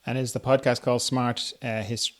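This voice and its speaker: A man's voice: mid-range voice, little pitch movement, normal speed. A man hosting a casual interview, chatty and informal, with a slightly hesitant delivery. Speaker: podcast host. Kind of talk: casual interview chat, reading listener questions.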